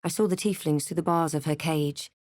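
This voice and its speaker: A woman playing a thoughtful, observant female adventurer in a fantasy game, with a measured, dry delivery that turns hushed at times. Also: low voice, steady tone, no echo, close to an everyday voice. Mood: hushed, solemn narration. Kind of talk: scripted game character lines and narration.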